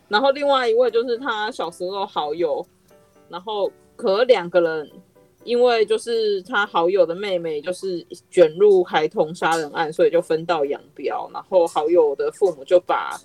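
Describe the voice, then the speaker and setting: A woman's voice: fairly low pitch, darkish tone. A woman with a Taiwanese Mandarin accent, hosting a casual podcast chat. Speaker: podcast host.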